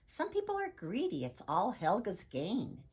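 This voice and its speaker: Lady doing a clever troll girl from a storybook, brash n sing-songy, bouncy pitch, bit husky. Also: huge pitch swings, measured pace, a little mellow, exaggerated voice. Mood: gleeful, sing-song. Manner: sing-song rhyming, brash salesmanship. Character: clever, bold, scheming young female troll.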